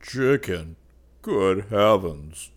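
Guy doing a chubby whiny glutton in an audiobook, low voice, hesitant, moany, kinda pathetic. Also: takes it slowly, gravelly, fairly bright tone, full character voice. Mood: moaning.

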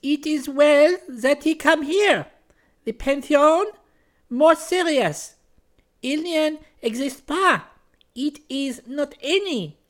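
Man putting on a shrill, gushing French landlady. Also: animated, slow, fairly bright tone, exaggerated voice. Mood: assured. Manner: effusive, broken English mixed with French. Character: stout, gushing French landlady of a Paris pension.

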